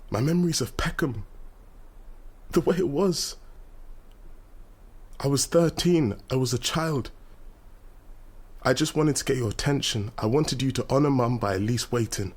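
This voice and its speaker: A man's voice: mid-range voice, a little slow, pauses often, a little mellow, husky. An actor playing a bitter, defiant young man, a proud activist son who speaks in an accusatory, declamatory way in a radio drama. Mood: hurt, angry. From London, England. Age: young adult. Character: defiant, bitter grown son turned Nigerian oil-rights militant.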